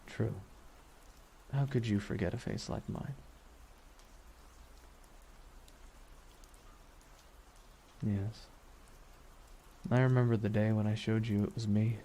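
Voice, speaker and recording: A man playing a brooding, wistful villain in an intimate ASMR roleplay, speaking slowly and deliberately with long pauses and quiet menace. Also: fairly low pitch, steady tone, lightly acted. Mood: musing, quietly amused. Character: villain reflecting beside his dying superhero nemesis, wistful, menacing. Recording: noticeable rain noise throughout. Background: rain falling on a surface.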